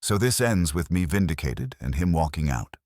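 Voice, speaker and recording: A man reads the part of a shaken woman client in a mystery audiobook. The voice is low and a little husky, earnest and held together. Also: very animated, moderate pace, darkish tone, no room sound, clean studio sound, heavily acted. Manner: clear, earnest. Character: shaken, vindicated woman client, composed and grateful.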